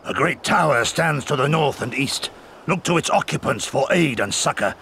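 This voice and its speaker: A stern rebel warrior speaks in a gravelly, forceful voice with strong swings of pitch. He is grave, formal and resolute, as in a fantasy game, with faint ambience behind him. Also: lively intonation, normal speed, a little hiss or hum, exaggerated voice. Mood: solemn. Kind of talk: video game voice acting.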